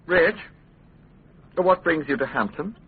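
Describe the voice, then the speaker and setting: Husky, insinuating schemer. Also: male voice, a little high, expressive, slow pace, full character voice. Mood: amused, needling. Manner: mock-genial, insinuating. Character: smooth-mannered, sly, needling royal official. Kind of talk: radio drama acting. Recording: tinny, band-limited radio drama recording.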